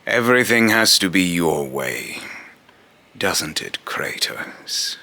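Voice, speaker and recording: Grave, condescending god of vengeance, played by a man: extremely low, forceful voice, solemn and accusing delivery. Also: big pitch swings, vocal fry, exaggerated voice. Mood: menacing, condescending. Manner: slow, solemn, deliberate, taunting rhetorical questioning. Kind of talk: amateur voice-acting monologue with retakes.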